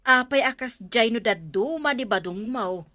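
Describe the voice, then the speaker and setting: A woman's voice: a little high, lively intonation, normal speed, bright, forceful tone, no echo. A woman playing a nagging, practical village woman in an Ilocano comedy drama, scolding with an Ilocano accent. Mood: wary, questioning.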